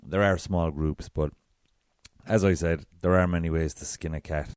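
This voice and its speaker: An Irish man with a very deep voice hosting a solo podcast, chatty and self-deprecating. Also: fairly even intonation, normal speed, darkish tone, smooth, no echo, studio quality. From south of Ireland. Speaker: podcast host.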